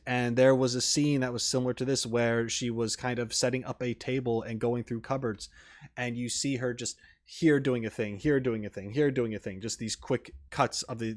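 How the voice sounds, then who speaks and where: A man giving casual, off-the-cuff commentary as a reaction streamer, rambling through his thoughts with many asides. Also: mid-range voice. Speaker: reaction video host and streamer.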